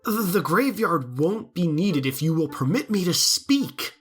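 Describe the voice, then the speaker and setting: Woman playing a low-voiced, scheming old glassblower. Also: very animated, measured pace, soft background music. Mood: earnest. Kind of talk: theatrical audio drama acting.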